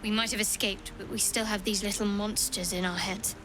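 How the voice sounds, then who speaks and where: An actress voicing a guarded young female cleric in a video game, speaking in a measured, dry, matter-of-fact way. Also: medium pitch, fairly even intonation, close to an everyday voice, rough recording. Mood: dry, pragmatic. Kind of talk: video game cutscene dialogue. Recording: music behind.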